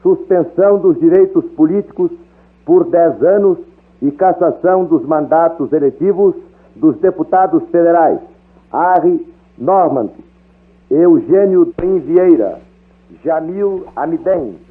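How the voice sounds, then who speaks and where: Slow, formal man on old lo-fi radio. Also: on the high side. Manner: formal, solemn. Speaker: official announcer. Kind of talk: formal read-out of a government decree. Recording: dull lo-fi archival radio, music behind.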